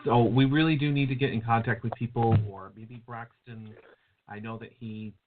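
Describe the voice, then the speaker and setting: A man's voice: mid-range voice, fairly even intonation, moderate pace, background noise. A man hosting a casual podcast chat, rambling along with lots of fillers. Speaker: show host. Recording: phone-like call audio.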